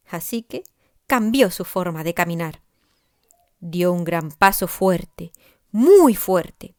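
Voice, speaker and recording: A woman narrating a scripted children's story expressively, with deliberate emphasis. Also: on the low side. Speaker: author narrating her own story.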